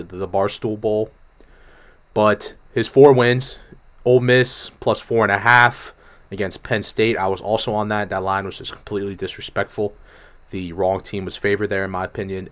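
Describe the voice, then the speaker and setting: Hoarse low-voiced man hosting sports talk. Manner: energetic, profane, jumps between topics. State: hoarse from a cold. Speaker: podcast host. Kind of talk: casual sports betting chat.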